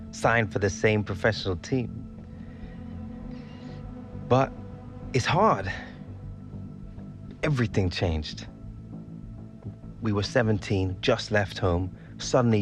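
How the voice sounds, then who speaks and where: An actor playing an earnest, modest young English star footballer in a video game cutscene, speaking casually. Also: male voice, fairly low pitch, close to an everyday voice. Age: young adult. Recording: loud music behind the voice. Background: music.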